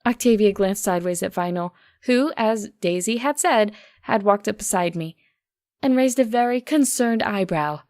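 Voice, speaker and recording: A woman's voice: mid-range voice, lively intonation, moderate pace, a little mellow, no echo, clean studio sound. A woman reading a first-person story aloud as an audiobook narrator.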